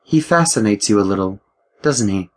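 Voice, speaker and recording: A man reading an audiobook, voicing a wary young man who talks quietly and teases with a guarded, wry tone. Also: fairly low pitch, lively intonation, moderate pace. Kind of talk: audiobook character voice.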